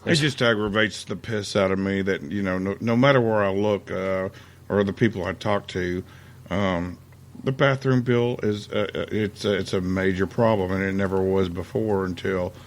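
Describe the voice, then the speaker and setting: A man hosting a podcast, talking in a halting way with lots of uhs. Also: deep voice. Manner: halting, many fillers. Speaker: podcast host. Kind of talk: casual podcast chat.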